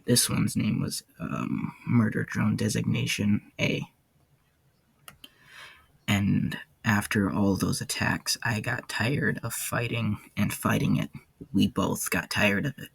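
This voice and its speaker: A man playing a weary, haunted ancient warden in an audio log, speaking in a halting, somber way with a gravelly, husky low voice. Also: fairly even intonation, no echo, full character voice. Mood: weary, grim. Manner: halting, somber, hesitant delivery. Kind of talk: character audio log, slow monologue.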